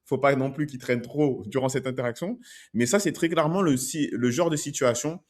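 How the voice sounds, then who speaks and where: A man's voice: mid-range voice, a little fast. A man working as a dating coach, talking through video clips in a conversational way and often checking in with "ok?". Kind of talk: commenting on street-approach video clips.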